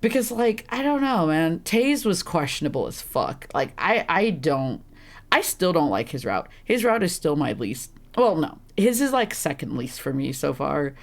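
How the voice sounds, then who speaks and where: A woman with a deep, rough, slightly hoarse and congested voice, rambling through casual gameplay commentary and laughing at herself often. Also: sing-song, moderate pace. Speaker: streamer playing a visual novel.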